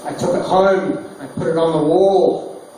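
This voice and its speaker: A man doing stand-up one-liners in a deadpan delivery. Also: on the high side, a little slow. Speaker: stand-up comedian. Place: small bar stage, roomy and echoey. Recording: echoey distant bar recording, noisy. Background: small crowd laughing, bar noise.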